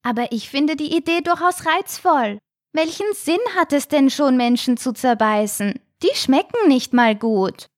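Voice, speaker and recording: A gentle little shark boy from a children's storybook, voiced by a woman. His voice is lifted high, and he explains himself with earnest eagerness. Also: lively intonation, a little slow, no room sound, clean studio sound. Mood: earnest, eager.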